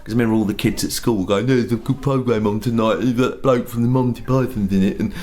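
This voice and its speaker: Chatty man in his fifties, low voice. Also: steady tone, fast talker, darkish tone. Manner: chatty, slips into silly voices and impressions. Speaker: pantomime performer and impressionist. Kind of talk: casual backstage interview chat. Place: backstage at a theatre.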